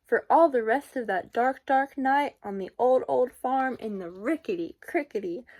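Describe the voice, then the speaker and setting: A woman reading a children's picture book aloud in a deliberate, expressive way, with sing-song emphasis. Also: fairly high pitch. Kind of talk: children's storybook read-aloud.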